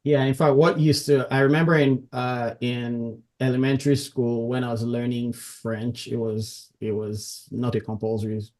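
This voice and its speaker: A man's voice: mid-range voice, flat delivery, moderate pace, few pauses, a little mellow. A man from Nigeria with a Nigerian accent, chatting casually as a podcast guest and often chuckling as he talks. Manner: chuckles often while talking. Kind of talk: casual conversational interview.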